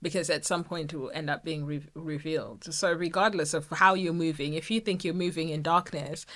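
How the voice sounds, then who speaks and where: A young woman co-hosts a casual podcast, jumping in with quick exclamations and finishing her partner's lines. Her voice sits a little low yet rings bright and forceful. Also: steady tone. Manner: reactive, chiming in with exclamations and finishing sentences. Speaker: podcast co-host. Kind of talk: casual chat.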